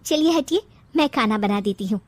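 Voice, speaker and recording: A woman's voice: on the high side, animated, moderate pace, no room sound. A woman voicing a sweet, dutiful young daughter-in-law in a cartoon, polite and eager. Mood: dutiful concern.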